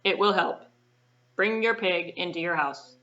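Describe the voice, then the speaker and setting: A woman's voice: lowish voice, measured pace, fairly bright tone, lo-fi sound. A woman voicing a wise old frog in a children's puppet story, giving short, unruffled instructions. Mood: calm.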